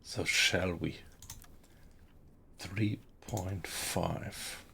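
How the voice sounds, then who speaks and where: German man, German accent, low and gravelly, unhurried, murmuring game-stream commentary. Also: slight background noise. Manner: relaxed, slow, often quiet and mumbled. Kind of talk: casual gameplay commentary, chatting with viewers.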